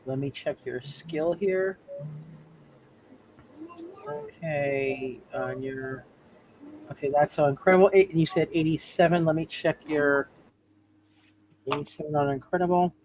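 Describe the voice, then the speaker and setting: A man running a tabletop roleplay game as game master, talking casually in a halting way and often repeating words. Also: a little high, darkish tone, slight background noise, low-quality recording.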